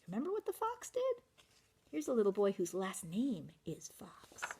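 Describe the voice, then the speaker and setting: A woman reading a children's picture book aloud in an animated way, stopping to ask listeners questions. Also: mid-range voice, moderate pace, a little hiss or hum. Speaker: children's storytelling teacher.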